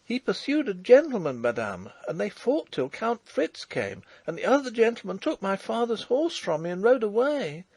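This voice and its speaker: A man voicing a young peasant farm girl who is earnest and stubborn, speaking in a high pleading voice. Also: sing-song, normal speed, few pauses, darkish tone, husky, no echo, full character voice.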